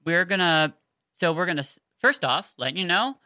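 Female co-host, bright crisp voice, close dry sound, chatty and effusive fan-podcast delivery. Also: on the low side, animated, moderate pace, dry recording. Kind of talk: casual fan podcast chat.

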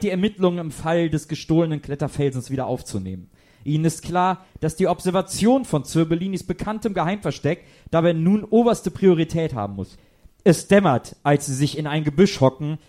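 Male comic as storybook-style narrator for mystery parody; mellow, fairly high voice, solemn, measured. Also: lightly acted. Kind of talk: scripted narration.

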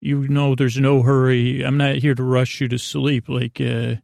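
A man hosting a bedtime podcast in a halting, digressive monologue, goofy and self-deprecating, with a creaky voice. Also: mid-range voice, flat delivery, a little mellow, no room sound, studio quality. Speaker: podcast host.

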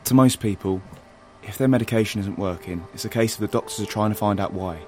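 An actor playing an earnest, protective and slightly nerdy rebel in an audio drama, explaining things insistently. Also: male voice, on the low side, fast talker, natural voice. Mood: grave, insistent. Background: music.

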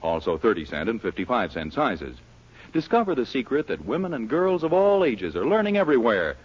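A man's voice: medium pitch, big pitch swings, brisk, slightly rough. An American man working as a radio announcer, reading scripted introductions and ads in a 1940s style. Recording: muffled 1940s radio broadcast, music behind.

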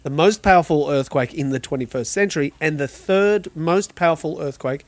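A man's voice: on the high side, fairly quick, no room sound. A man hosting a podcast quiz, reading out questions and confirming answers in a friendly, lightly teasing way. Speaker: quiz host.

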